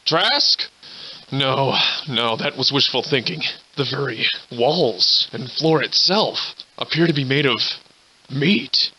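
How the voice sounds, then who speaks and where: Theatrical, surly old-time drunken scoundrel. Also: male voice, mid-range voice, animated, slow pace, sharp tone, slightly rough, exaggerated voice, rough recording. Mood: bewildered. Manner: florid, old-fashioned, theatrical diction. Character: surly hard-drinking old-time scoundrel, grandiloquent survivor. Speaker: video game protagonist voice actor. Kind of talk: theatrical video game character dialogue.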